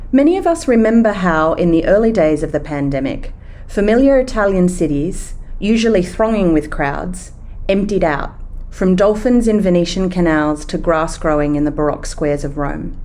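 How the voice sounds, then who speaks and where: A woman hosting a travel podcast, reading a measured introduction and asking interview questions. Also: on the low side, warm tone. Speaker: podcast host.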